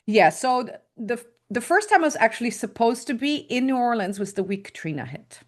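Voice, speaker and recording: A woman's voice: a little high, expressive, fairly quick. A middle-aged woman from the Netherlands with a Dutch accent, a guest consultant talking casually and thoughtfully, with frequent ums, over a video call. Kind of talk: casual interview talk.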